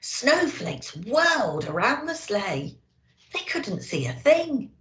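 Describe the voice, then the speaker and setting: A woman's voice: on the high side, very animated, slow, fairly bright tone, a little hiss or hum. A woman reading a children's story expressively, as if talking to young listeners. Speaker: storyteller in character as an elf. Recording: roomy echo.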